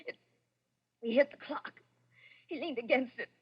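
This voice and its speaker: A woman's voice: high-pitched, normal speed, breathy edge. An actress playing a desperate, lovestruck woman with a deadly secret, pleading emotionally in 1940s radio melodrama. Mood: anguished confession. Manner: breathless, pleading, intensely emotional. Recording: dull vintage radio recording.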